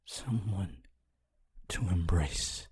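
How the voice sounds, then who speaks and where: A lonely, honorable male spirit acted out by a man speaks in a very breathy, hushed voice. It is low and very slow, with halting, intimate phrasing. He sounds tender and full of regret, as in an ASMR roleplay. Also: heavily acted. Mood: wistful.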